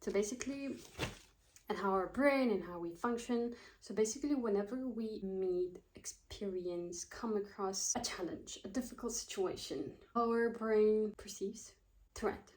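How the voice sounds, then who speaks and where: A woman's voice: medium pitch, a little slow, darkish tone, rough recording. A woman in her twenties, a student vlogger, rambling casually and thinking aloud, often losing her train of thought. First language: not Russian. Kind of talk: casual chat.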